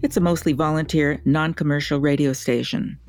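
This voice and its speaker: A woman journalist narrating a documentary report in a measured, clear way. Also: sits low, steady tone, darkish tone, soft background music.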